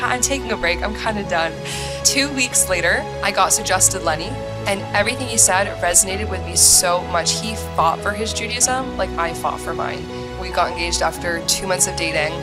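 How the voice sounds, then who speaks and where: A woman's voice: fairly low pitch, normal speed, loud music behind the voice. A young American woman speaking as a podcast guest, telling her personal story in conversation. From United States, grew up moving between countries. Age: young adult.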